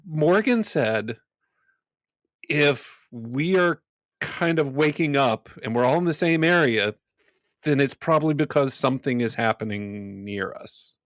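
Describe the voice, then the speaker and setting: A man's voice: mid-range voice, no echo. A man playing a tabletop game, chatting casually and improvising in character with a wry, joking manner. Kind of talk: casual improvised role-play chat.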